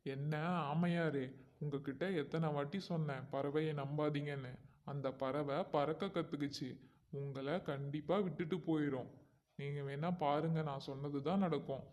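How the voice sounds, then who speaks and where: A man plays a storybook crow full of gloomy warnings. The voice sits slightly high for a male, with a cynical, meddling, needling delivery. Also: fairly even intonation, a little mellow, close to an everyday voice. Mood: smug warning. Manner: slow, needling. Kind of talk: children's bedtime story character.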